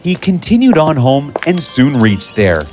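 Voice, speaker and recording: A man narrating a scripted fairy tale in an expressive, measured way. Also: on the high side, soft background music. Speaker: storyteller.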